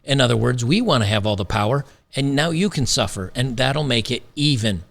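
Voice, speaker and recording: A man's voice: mid-range voice, expressive, fairly quick, a bit bright. A man hosting an opinionated commentary show, emphatic and sarcastic, repeating phrases for effect, his voice a little worn today. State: slightly losing his voice. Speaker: commentary channel host.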